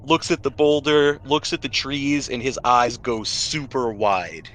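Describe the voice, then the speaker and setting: A man narrating a tabletop role-playing game as game master, with deliberate, descriptive storytelling and pauses. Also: mid-range voice, steady tone, fairly bright tone.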